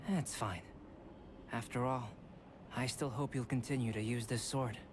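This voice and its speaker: An actor playing a calm, aloof young male alchemist in a video game, speaking in a measured, formal and reserved manner. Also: mid-range voice, noisy background, close to an everyday voice. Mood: calm, analytical. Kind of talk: scripted video game dialogue. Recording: background music under the voice.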